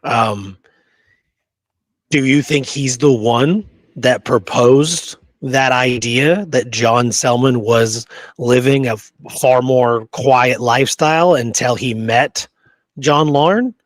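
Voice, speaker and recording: A man with a medium-pitched voice chats about history as a co-host. His delivery is informal and a bit roundabout. The recording is very dry, without room sound. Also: slow pace, dry recording. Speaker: podcast co-host. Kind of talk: casual history discussion.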